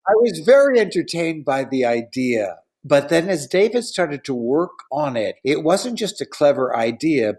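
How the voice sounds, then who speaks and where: A man speaking earnestly and appreciatively as a director reflecting in an interview. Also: a little high. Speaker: play director, interview guest.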